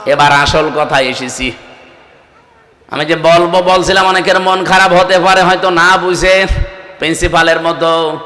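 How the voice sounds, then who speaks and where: A man's voice: on the high side, fairly even intonation. A man preaching a fiery, exhorting Islamic sermon to a gathering. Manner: exhorting, calls on listeners to answer louder; breaks into slow melodic Arabic recitation. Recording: echoing PA sound with long reverb.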